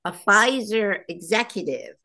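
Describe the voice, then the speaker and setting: A woman's voice: medium pitch, animated, a little slow, bright, forceful tone. A woman hosting and producing her own talk show, chatting casually with many fillers and restarts. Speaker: host and producer. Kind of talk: casual interview chat.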